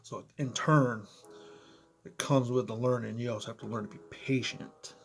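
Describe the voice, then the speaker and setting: A man in his apartment, speaking close to the camera, his voice a bit hoarse, chatting casually with viewers and often asking "right?". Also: medium pitch, fairly even intonation, moderate pace. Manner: conversational, talks straight to viewers, frequent "you know" and "right?". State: slightly hoarse. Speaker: motivational vlogger. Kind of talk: casual explainer vlog. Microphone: close to camera, in front of computer.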